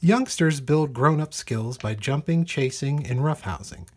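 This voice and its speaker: Husky-voiced man reading a storybook. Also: medium pitch, unhurried, a little mellow. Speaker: storybook reader. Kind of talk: read-aloud children's nonfiction storybook narration.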